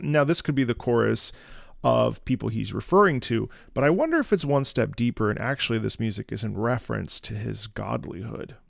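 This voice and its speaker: A man giving casual, analytical reaction commentary, thoughtful and often halting as he searches for words. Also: medium pitch, lively intonation, vocal fry. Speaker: music reaction host, opera singer.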